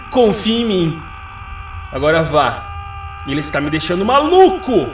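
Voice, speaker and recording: A man's voice: high-pitched, big pitch swings, measured pace, noisy background. A man playing a fussy, irritable, self-important neighbour in a video game, whining theatrically. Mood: scheming, irritated. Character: fussy, irritable male neighbour griping about noise. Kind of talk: video game character acting. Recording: distant, echoey, music behind.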